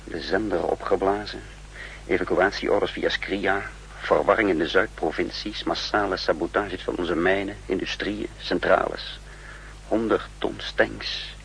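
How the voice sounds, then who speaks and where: An actor playing a resolute, calculating spy leader in a deliberate, commanding 1960s radio drama style. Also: male voice, deep voice, steady tone, creaky, fairly bright tone, exaggerated voice. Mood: grave narration. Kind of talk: 1960s Dutch radio drama acting, part narration. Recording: noisy 1960s radio recording.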